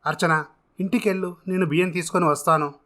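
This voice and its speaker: A young village husband in a storybook drama , acted out by a man, irritable and dismissive. He gives blunt orders in a bright, slightly high voice. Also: fairly even intonation, fast. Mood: curt.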